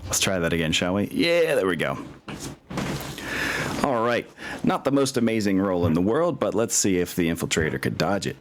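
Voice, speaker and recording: A man's voice: deep voice, very animated, moderate pace, slight background noise. A man narrating a tabletop game as game master, descriptive and conversational. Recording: music playing faintly behind the voice. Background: faint music.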